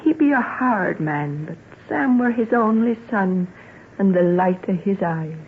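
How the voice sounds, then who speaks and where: A woman's voice: mid-range voice, expressive, unhurried, a little hiss or hum. Sorrowful woman, tinny old radio. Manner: earnest rustic dialect storytelling. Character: plain-spoken sorrowful country woman, devoted to her family. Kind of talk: old-time radio drama acting.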